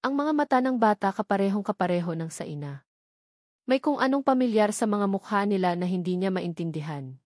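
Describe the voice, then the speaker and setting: A woman with a Filipino accent reads a dramatic story as narrator. Her pitch sits in the middle of the usual female range. The sound is dry, with little room in it. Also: animated, brisk, a little mellow, no echo.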